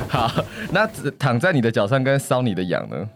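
A man with a mid-pitched, extremely expressive voice co-hosts a casual interview. He banters in a teasing, playful way, with loud music under his talk. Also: normal speed. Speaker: podcast and radio co-host.

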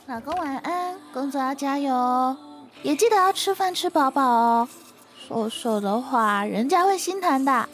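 A woman's voice: high-pitched, animated, takes it slowly, long pauses, faint music behind. A woman voicing a doting young woman in love, speaking in a cutesy way. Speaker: voice actor in an audio drama.

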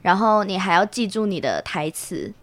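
A woman's voice: medium pitch, lively intonation, normal speed, fairly bright tone, no room sound. A young woman, a student guest, explaining her experience in casual conversational speech. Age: young adult.

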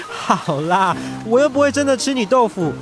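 A man's voice: extremely high pitch, sing-song, over music, heavily acted. A man with a Taiwanese Mandarin accent voicing an impulsive, cheerful young rich heir who laughs and gets flustered easily. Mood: playful. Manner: playful, eager, quick to laugh and fluster. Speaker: voice actor. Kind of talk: amateur radio drama acting.

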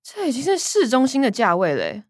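Sharp-tongued, swooping Taiwanese elder sister. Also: female voice, high voice, wildly swooping pitch, fast, no room sound, clean studio sound, exaggerated voice. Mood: irritated. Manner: curt, scornful. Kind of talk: radio drama acting.

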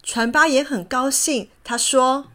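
A woman narrating a children's story in a gentle, expressive manner. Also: high-pitched, measured pace, noisy background. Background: background music.

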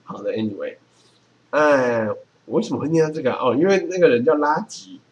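A man's voice: medium pitch, animated, brisk. A man with a Taiwanese accent chatting casually as a podcast host. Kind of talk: casual chat. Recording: clearly noisy background.

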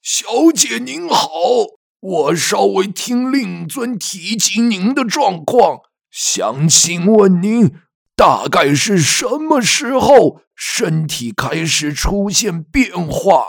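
A woman acts an elderly female physician in an audio drama. She is grave and courteous, choosing formal words slowly and deliberately, in a dry recording. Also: medium pitch, very animated, gravelly, breathy edge, no room sound, full character voice. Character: older gray-haired female doctor, grave and courteous.

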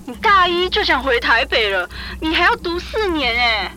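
A woman's voice: sits high, sing-song, moderate pace, pushed and bright, a little hiss or hum, heavily acted, rough recording. A young woman with a Taiwanese Mandarin accent playing a blunt, upbeat student and best friend in an audio drama. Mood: casual, joking. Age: young adult. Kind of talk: audio drama acting, casual chat.

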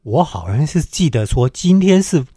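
Lively-pitched man co-hosting radio. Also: normal speed, breathy edge, dry recording, studio quality. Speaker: radio co-host. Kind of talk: conversational radio talk.

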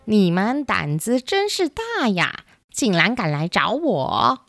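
A woman voicing a vengeful, scornful dark witch with a theatrical, taunting manner in a children's storybook audio drama. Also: medium pitch, big pitch swings, slow, faint music behind, heavily acted. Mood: menacing.

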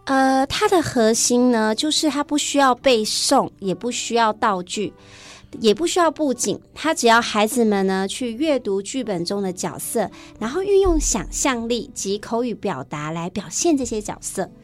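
Woman, Taiwanese Mandarin accent, teacher guest, conversational explaining, slightly high pitch, faint music. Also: lively intonation, moderate pace. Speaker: English teacher interviewed as guest. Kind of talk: conversational explanation in a radio interview.

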